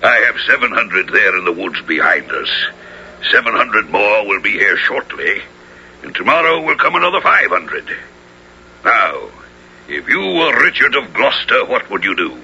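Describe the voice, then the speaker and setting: Bright, forceful, commanding royal duke. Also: male voice, fairly low pitch, very animated, normal speed, heavily acted. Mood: commanding, probing. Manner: lordly, archaic, declamatory speech. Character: commanding royal duke leading troops, shrewd and magnanimous. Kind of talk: 1970s radio drama acting. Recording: noisy, muffled old radio broadcast.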